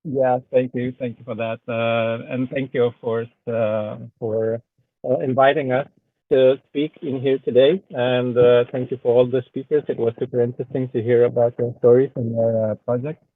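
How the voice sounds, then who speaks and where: A man's voice: mid-range voice, little pitch movement, moderate pace, no echo. Hesitant man with a Lithuanian accent. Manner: hesitant, many filler sounds. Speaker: guest artist. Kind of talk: casual chat. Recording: tinny phone-like online call audio.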